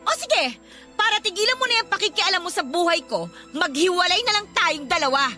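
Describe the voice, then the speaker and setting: A woman playing an irritable, fed-up, sharp-tongued girlfriend in a Filipino radio drama, with a Filipino accent and a scolding manner. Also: very high-pitched, expressive, a little fast. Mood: defiant, irritated. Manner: snappish, scolding, exasperated. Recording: music behind the voice.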